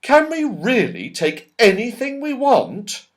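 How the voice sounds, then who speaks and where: Storybook boy, practical and earnest, put on by a male reader, raised pitch, unhurried. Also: sing-song, heavily acted. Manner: practical, a little cross. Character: brisk sensible young schoolboy.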